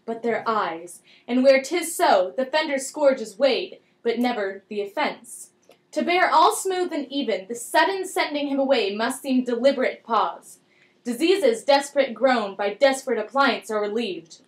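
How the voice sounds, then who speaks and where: A woman playing an anxious, commanding king in an amateur Shakespeare puppet show, declaiming old verse. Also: high-pitched. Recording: echoey room, amateur recording.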